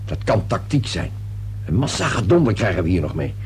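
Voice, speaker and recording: A man's voice: low voice, sing-song, a little fast, darkish tone, slightly rough, exaggerated voice. An actor playing an uneasy, wary middle-aged husband and father in a radio drama. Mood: wary, irritated. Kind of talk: Dutch radio drama acting. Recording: noisy old radio recording with hum.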